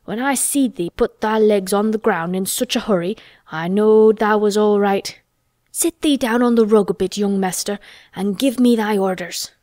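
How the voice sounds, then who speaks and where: An old Yorkshire gardener , acted out by a woman reader, dry and gruff with a stubborn streak and a tender heart underneath. He speaks slowly in broad dialect, as a storybook character, in a very dry, close recording. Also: medium pitch, darkish tone, no echo, lightly acted. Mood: gruff exultation. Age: older. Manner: dry, slow, gruffly obstinate.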